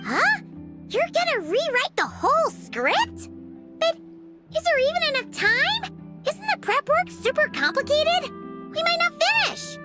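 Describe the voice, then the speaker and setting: A woman voicing a small, childlike, nosy and excitable girl companion in a video game. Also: a little slow, exaggerated voice. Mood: worried. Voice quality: squeaky, childlike. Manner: exclamatory, speaks of herself by name. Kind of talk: animated video game voice acting. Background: loud music.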